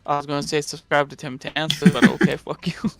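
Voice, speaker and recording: A man's voice: fairly high pitch, flat delivery, fast talker. A man joining a stream as a friend, teasing and laughing through casual banter despite a sore throat. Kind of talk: casual gaming stream chat.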